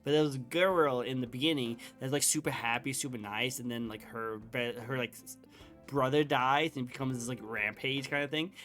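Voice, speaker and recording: A man's voice: mid-range voice, steady tone, moderate pace, a bit bright. Enthusiastic rambling male interview host. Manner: rambling, enthusiastic, many fillers and restarts. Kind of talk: casual interview chat. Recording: faint music underneath.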